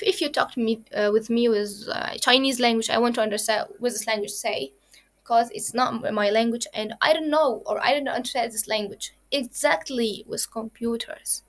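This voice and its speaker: A woman teaches children the basics of coding. Her voice sits a little high, and she explains each point step by step and repeats it, with plenty of fillers along the way. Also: normal speed. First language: Arabic. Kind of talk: kids' coding lesson.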